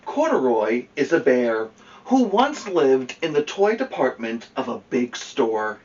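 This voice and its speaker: A man reads a picture book aloud as a story time host, his voice lively and swooping, taken at a slow pace. He sounds a little away from the mic, with expressive delivery and playful asides to a young listener. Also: medium pitch. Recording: dull, distant mic with music behind.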